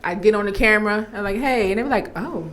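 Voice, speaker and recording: A woman's voice: medium pitch, quick pace, a bit bright. A woman co-hosting a podcast, chatting casually in slang and retelling what others said in little anecdotes. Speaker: podcast co-host.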